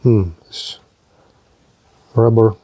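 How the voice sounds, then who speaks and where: A man giving an unscripted hands-on product demonstration as a reviewer, speaking haltingly in short, broken-up phrases. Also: on the low side, fairly even intonation, mellow, husky, dry recording. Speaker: gadget reviewer presenting a radio.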